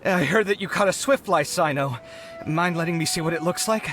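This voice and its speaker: A man's voice: fairly high pitch, a little fast, music in the background, natural voice. A man voicing an earnest, refined young architect with an articulate, polite manner in a video game. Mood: surprised. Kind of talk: animated video game voice acting.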